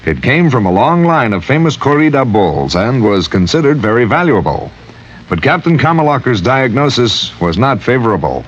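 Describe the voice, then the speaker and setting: A man's voice: medium pitch, sing-song, normal speed. A man reading a scripted story as a radio announcer. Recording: dull, faintly noisy old radio recording.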